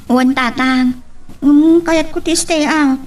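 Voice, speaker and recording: A woman's voice: high voice, steady tone, slow. A woman acting a dutiful, sweet young daughter in an Ilocano audio drama. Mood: obedient. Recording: distant from mic.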